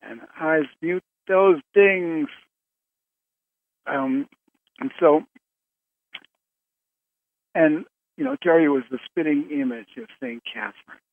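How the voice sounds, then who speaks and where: A man hosting a conference call in a casual, rambling way, pausing often mid-sentence, heard over the telephone. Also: on the high side. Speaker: host of a conference call show. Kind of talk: casual, rambling talk to callers. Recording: narrow phone line with background noise.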